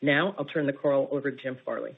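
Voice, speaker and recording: A woman's voice: rumbling low, quick pace, vocal fry. A woman in investor relations reading formal prepared remarks on a conference call. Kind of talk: formal scripted corporate reading. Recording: dull, faintly noisy conference call playback.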